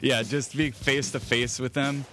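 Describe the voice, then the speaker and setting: A man's voice: mid-range voice, fairly even intonation, a little slow, over music. A man hosting a podcast in casual, jokey conversation over an internet call, prone to chuckling. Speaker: podcast host. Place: remote Skype call.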